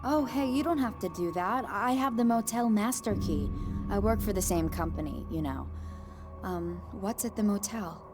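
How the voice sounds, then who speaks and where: A woman's voice: mid-range voice, normal speed, close to an everyday voice. Give me a woman as an anxious young motel worker who hesitates and trips over her words. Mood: uneasy. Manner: hesitant, stammering, uneasy. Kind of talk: video game cutscene acting. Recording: game audio over music.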